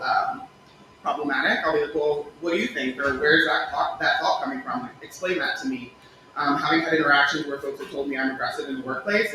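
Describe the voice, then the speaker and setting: A woman's voice: low-pitched, bright, forceful tone. A woman on a panel who gives candid, practical advice and laughs easily. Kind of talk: panel discussion. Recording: echoey room with background noise.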